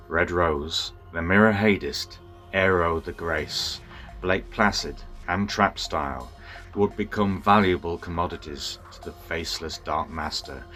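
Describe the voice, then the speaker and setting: A very deep male voice tells a dramatic story in storybook style. He is theatrical and deliberate in his phrasing. Music plays behind him. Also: pushed and bright. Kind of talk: dramatic scripted storybook narration.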